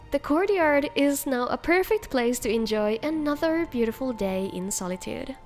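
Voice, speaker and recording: High-voiced woman narrating a game showcase. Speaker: video host, game mod reviewer. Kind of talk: upbeat scripted narration.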